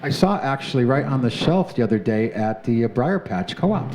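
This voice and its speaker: A man hosting a talk show, interviewing a guest in an earnest, halting way with many restarts. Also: mid-range voice, expressive, darkish tone, a little hiss or hum. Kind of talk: conversational interview.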